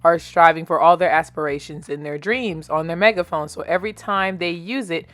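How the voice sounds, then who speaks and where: A woman's voice: fairly low pitch, fairly quick. An American woman presenting a children's craft tutorial in a chatty, informal, encouraging way.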